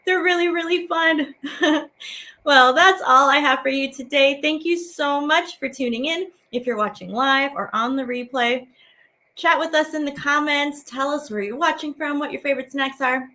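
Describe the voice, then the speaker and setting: A woman's voice: sits high, moderate pace, bright, forceful tone. A woman hosting a children's activity lesson as a teaching artist, speaking casually to viewers in a playful, encouraging way with simple phrasing.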